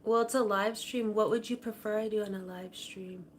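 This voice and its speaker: A woman hosting a casual livestream from her home kitchen, talking softly and rambling, her sentences often trailing off quietly. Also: mid-range voice, fairly even intonation, moderate pace, a little hiss or hum. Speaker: livestream host. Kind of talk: casual chat to viewers. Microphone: camera on a tripod.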